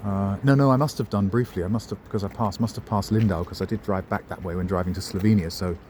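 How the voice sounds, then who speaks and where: A middle-aged man talks his way through a town on foot, his voice sitting low. He wanders off on tangents and makes dry jokes at his own expense. Faint traffic hums behind him in the open street. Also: steady tone, fast, darkish tone. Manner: wry, digressive, self-mocking asides. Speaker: travel vlogger. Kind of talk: rambling walking-tour commentary. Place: outdoors in a town centre street.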